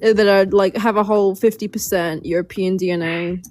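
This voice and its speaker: A woman talking in a relaxed group chat. She is loose and quick to laugh, and she swears freely. Her voice sits at a middle pitch and sounds dry and close, with no room around it. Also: no room sound, faint music behind. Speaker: live stream panelist.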